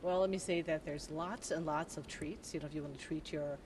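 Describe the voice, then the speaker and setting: A woman's voice: sits low, steady tone, brisk, a little mellow, a little hiss or hum. An older woman, the event promoter, answering interview questions in a friendly, rambling way full of fillers, into a reporter's handheld mic in an expo hall. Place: large indoor expo hall.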